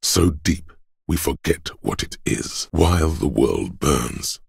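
An extremely deep, gravelly inner voice. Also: male voice, expressive, no room sound, studio quality, exaggerated voice. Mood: solemn, menacing. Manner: slow, solemn, portentous. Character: inner voice of grim, stoic endurance, male, zealous. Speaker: voice actor. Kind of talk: dramatic game narration, second person.

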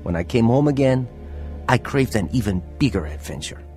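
Man acting a troubled young man, animated delivery. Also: mid-range voice, moderate pace, music in the background, natural voice. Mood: confessional, reflective. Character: troubled hot-tempered teenage boy, also narrating his life as testimony. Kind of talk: radio drama acting and first-person narration.

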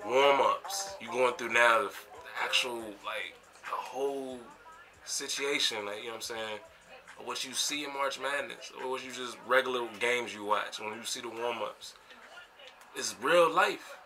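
A man's voice: mid-range voice, a little slow, sharp tone. An American man in his twenties reflecting casually for a vlog, talking in a slangy, emphatic, conversational way. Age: 20s. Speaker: vlogger and college basketball player. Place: small bedroom, indoors. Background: music.

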